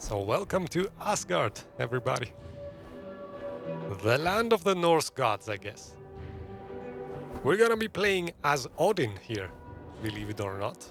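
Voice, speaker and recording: A man's voice: fairly high pitch, very animated, a little slow, pauses often, over music. A man from Greece, giving casual live commentary as a game streamer.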